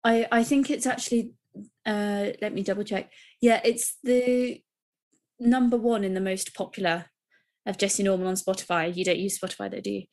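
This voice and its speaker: A woman's voice: medium pitch, fairly even intonation, moderate pace, a little mellow. A young woman, co-hosting a podcast, talking enthusiastically and rambling with restarts. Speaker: podcast co-host. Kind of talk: casual chat.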